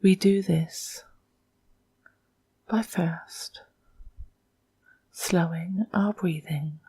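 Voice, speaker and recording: A woman leads a guided meditation in a lowish, extremely breathy voice. Her delivery is very slow and hushed, often close to a whisper. She sets out short, measured phrases with long pauses between them. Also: fairly even intonation, dry recording.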